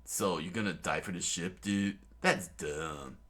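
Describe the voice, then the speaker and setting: A man's voice: low voice, measured pace, a bit bright. Luffy, a man doing a drawling surfer-dude pirate boy. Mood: lecturing. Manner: drawling, says dude a lot. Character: laid-back young male pirate captain, surfer-like.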